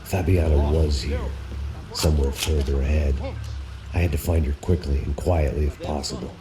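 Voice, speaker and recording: An actor playing a weary, bitter, hard-drinking American in a hardboiled noir game voice-over, terse and sardonic. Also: male voice, extremely low pitch, steady tone, normal speed, a crowd in the background. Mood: grimly sardonic. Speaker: narrating protagonist.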